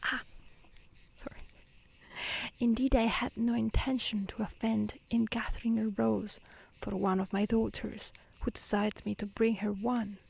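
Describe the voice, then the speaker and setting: Slow, humble merchant softly voiced by a woman. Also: fairly high pitch, little pitch movement, low-quality recording. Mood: pleading. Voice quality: soft, breathy. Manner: courteous, deferential, formal. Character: humble, grateful, polite male merchant, a father. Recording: faint outdoor insect noise.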